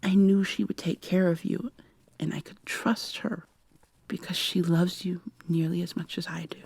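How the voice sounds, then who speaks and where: A woman playing an earnest, regretful robot woman in an ASMR audio drama, speaking softly in a formal, measured way. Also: on the low side, fairly even intonation, gravelly, breathy edge, no echo. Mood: pleading, regretful.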